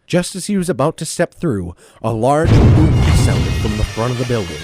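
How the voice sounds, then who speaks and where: A man's voice: medium pitch, very animated, moderate pace, dark tone, breathy edge, dry recording, faint music behind. A man narrating a scripted superhero audio drama in an earnest, theatrical storytelling style. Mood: dramatic.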